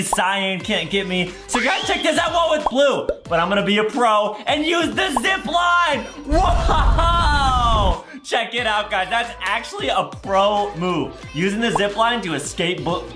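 A man in his twenties giving exaggerated, theatrical gaming commentary for kids as an excitable host, often raising his voice to a shout. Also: sits high, measured pace. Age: 20s. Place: small room at home, indoors. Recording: roomy echo with loud background music. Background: music.

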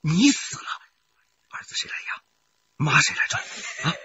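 A man's voice: normal speed, pushed and bright, whispered, lo-fi sound, close to an everyday voice. An actor playing a middle-aged, money-hungry husband in a radio crime drama, who repeats his words and swings between coaxing and bullying. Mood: threatening, agitated.

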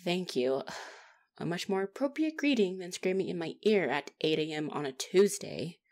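A woman acts out a young demigod trainee in an audio roleplay. She is feisty and chatty, easily flustered, with a lively swing in pitch. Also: moderate pace. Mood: wry, casual. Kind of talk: ASMR roleplay acting.

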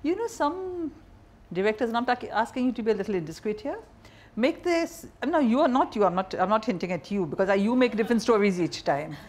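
A woman's voice: a little high, expressive, brisk. A middle-aged woman with an Indian accent, hosting a conversational interview with direct, probing questions. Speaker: interview host. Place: seated indoors in a wood-panelled bar set.

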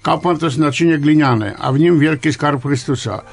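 Older man, creaky higher-pitched voice. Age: older, around 70. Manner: deliberate, halting, didactic. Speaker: priest, radio guest. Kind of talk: interview answers, explaining church liturgy. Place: small radio studio, indoors. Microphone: studio microphone on boom arm.

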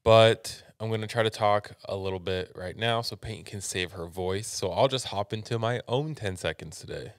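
A man's voice: on the low side, moderate pace, few pauses, dry recording. A young American man chatting casually as a podcast co-host, speaking into a close studio microphone. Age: young adult. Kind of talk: casual chat. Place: small indoor podcast studio. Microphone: close studio mic on boom arm, headphones.

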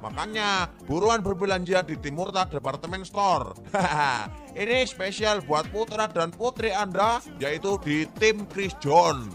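Mock-salesy Ngapak-accented radio announcer. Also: male voice, animated, slow, a bit bright. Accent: Banyumasan Javanese (Ngapak). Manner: mock-salesy, joking pitch. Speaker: parody ad announcer. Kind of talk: radio ad parody. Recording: old radio recording over music.

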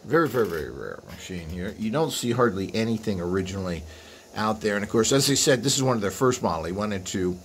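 A man with a fairly low voice talks through equipment in an informal, explanatory way. He rambles as he goes, like a channel host filming by hand in a workroom. There is clear noise and room ambience behind him. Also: lively intonation, quick pace. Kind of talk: informal hands-on product walkthrough.

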